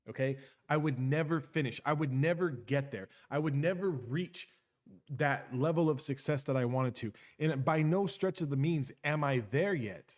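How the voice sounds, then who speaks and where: A man giving an unscripted motivational monologue. He talks emphatically, repeats phrases for effect, tags lines with "okay?" and swears casually. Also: medium pitch. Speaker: motivational speaker.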